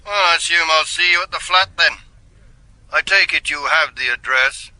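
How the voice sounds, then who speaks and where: An actor playing a dour, dutiful police inspector, speaking in a stiff, formal, pointed way in a radio drama. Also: male voice, fairly high pitch, moderate pace, bright, forceful tone, no room sound, low-quality recording.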